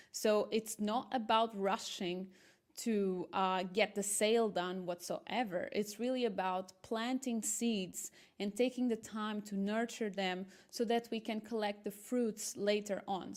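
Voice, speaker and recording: A woman speaks English as an interview guest. She talks earnestly and eagerly at a middle pitch, sometimes restarting her phrases. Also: a little mellow. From Portugal. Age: 30s. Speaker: guest, marketing entrepreneur. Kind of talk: casual interview talk.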